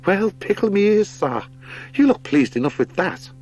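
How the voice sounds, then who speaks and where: A man plays a young haremaid from an animal adventure audiobook. She is cheeky, posh and exuberant, pitched far above the performer's own voice and full of chummy exclamations. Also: big pitch swings, moderate pace, a little mellow, faint music behind. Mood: cheeky, delighted. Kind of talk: audiobook character acting.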